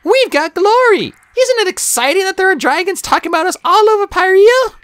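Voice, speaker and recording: A woman's voice: extremely high pitch, lively intonation, moderate pace, fairly bright tone, dry recording, clean studio sound, voice pushed up. A woman voicing a cheerful, dreamy young female dragon from a storybook, eager and earnest. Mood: playful. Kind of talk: storybook character voice.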